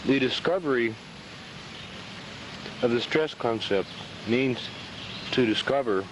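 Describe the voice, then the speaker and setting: A man explaining a subject informally and haltingly, recorded outdoors on 1970s reel-to-reel video. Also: medium pitch, noisy background. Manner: halting, with frequent throat clearing. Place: outdoors beside a pond.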